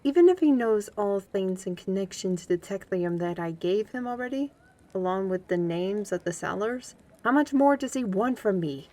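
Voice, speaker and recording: A woman playing a young, guarded, gently teasing fantasy woman in a roleplay audio drama, talking directly to the listener in a conversational way. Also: mid-range voice, lively intonation, moderate pace, close to an everyday voice. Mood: troubled. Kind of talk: scripted roleplay audio acting, ASMR.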